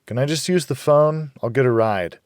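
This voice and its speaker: A man's voice: mid-range voice, normal speed, no room sound, studio quality, pitched above the performer's own voice, natural voice. A man voicing a wary, frightened young girl in an audiobook. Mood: apologetic, timid. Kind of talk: audiobook character voice.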